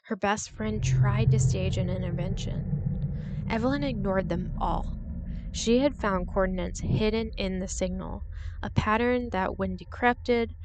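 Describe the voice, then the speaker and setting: A woman narrating a scripted suspense story in a measured, deliberate way, with short dramatic pauses. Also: mid-range voice, very noisy.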